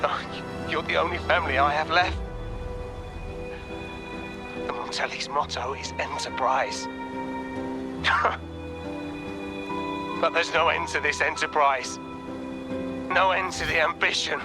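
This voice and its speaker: A man's voice: on the high side, slow pace, pauses often, music in the background. An actor playing a grief-stricken, guilt-ridden man of a merchant family in a game drama, pleading and anguished. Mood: bitter, despairing. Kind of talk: dramatic video game voice acting.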